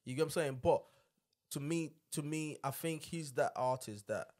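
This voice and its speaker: A man's voice: fairly high pitch, moderate pace, darkish tone. A man with a London accent in casual, slangy podcast chat, repeating phrases for emphasis into a studio microphone. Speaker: podcast host. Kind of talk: casual chat about music. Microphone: boom-arm studio microphone.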